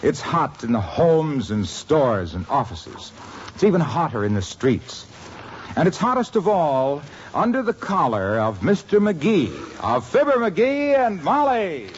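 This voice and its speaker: A male announcer giving the opening and scene-setting in a smooth, emphatic style of 1950s radio. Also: very animated, a little slow, a little hiss or hum. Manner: smooth, emphatic sales pitch. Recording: dull old radio transcription with music.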